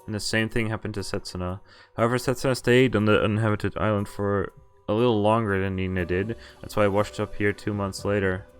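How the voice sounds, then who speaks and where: A man streaming a visual novel, reading the text aloud and breaking off haltingly into muttered casual commentary. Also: on the low side, fairly even intonation, faint music behind. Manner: halting, often pausing to mutter asides. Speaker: game streamer.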